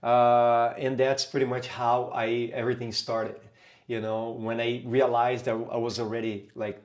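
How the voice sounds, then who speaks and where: A man with a Brazilian Portuguese accent talks through his story as a podcast guest. His voice sits in a middle range, and he speaks haltingly, with many fillers and restarts. He is a capoeira teacher. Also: mid-range voice, level tone, a little mellow. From Brazil, São Paulo. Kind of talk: casual interview talk.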